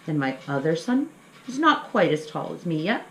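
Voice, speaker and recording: A woman's voice: deep voice, sing-song, moderate pace, a bit bright. A middle-aged woman hosting a children's craft lesson, explaining things simply and encouragingly to young kids. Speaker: children's story time host. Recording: echoey room with faint background noise.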